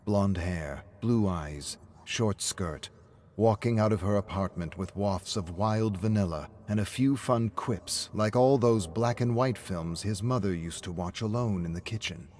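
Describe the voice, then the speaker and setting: A man's voice: fairly low pitch, measured pace, darkish tone. A man narrating a scripted dramatic story.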